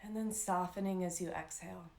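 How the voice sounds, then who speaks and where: A woman leading a live guided meditation as an instructor, speaking in a calm, soothing, unhurried way with long pauses. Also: lowish voice, gravelly, roomy sound.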